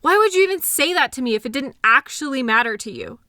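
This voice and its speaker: A woman acting a hurt, anxious girlfriend in an intimate audio drama, emotional, accusatory and halting as she argues with her partner. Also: high-pitched, animated, sharp tone, dry recording, studio quality. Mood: exasperated, reproachful.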